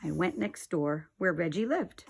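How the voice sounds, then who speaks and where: A woman's voice: fairly low pitch, expressive, a little slow, gravelly, breathy edge. Woman reading a children's storybook aloud. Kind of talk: storybook read-aloud narration.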